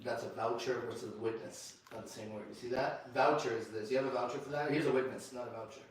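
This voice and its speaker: A man hosting a men's group discussion who preaches in a forceful, confrontational way and repeats himself. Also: mid-range voice, fast talker. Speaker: host and leader of a men's group. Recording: very echoey room, distant mic.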